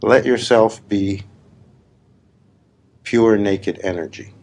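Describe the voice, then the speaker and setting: A man leading a guided meditation as a spiritual teacher, speaking in deliberate, emphatic, repeated phrases with long pauses. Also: lowish voice, gravelly, darkish tone. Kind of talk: slow guided meditation talk. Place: live gathering.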